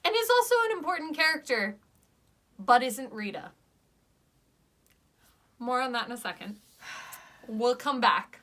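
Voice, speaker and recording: A woman's voice: on the high side, lively intonation, a little slow, long pauses, a bit bright. A young woman co-hosting a casual podcast review, chatty and enthusiastic, arguing playfully with her friend. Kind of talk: casual chat.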